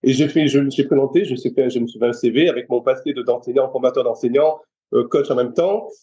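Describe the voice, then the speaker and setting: Middle-aged French male trainer, warm mellow tone, halting, thinking-aloud delivery. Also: medium pitch. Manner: hesitant, many restarts and fillers. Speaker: guest, personal trainer. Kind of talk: casual interview chat.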